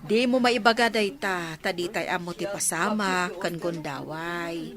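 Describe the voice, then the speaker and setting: Bright, outspoken village woman, acted by a woman. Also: on the low side, unhurried. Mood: consoling. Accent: Ilocano. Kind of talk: Ilocano radio comedy drama acting.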